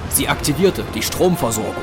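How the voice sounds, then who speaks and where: A man's voice: mid-range voice, lively intonation, fairly quick, natural voice. A man narrating a horror action audio drama in a grave, scripted storytelling style. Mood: grave, suspenseful. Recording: music under the narration. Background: dramatic music.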